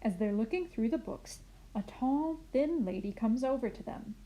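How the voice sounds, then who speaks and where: A woman reading a scripted children's story as narrator, in an expressive storytelling manner. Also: on the high side, normal speed, low-quality recording. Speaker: narrator and reader.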